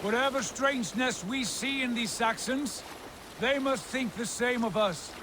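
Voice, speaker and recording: An actor playing a wary, commanding Viking leader in a video game cutscene, measured and authoritative. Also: male voice, extremely high pitch, background noise. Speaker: leader of a raiding crew. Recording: loud music and water behind dialogue. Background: game music, water, boat sounds.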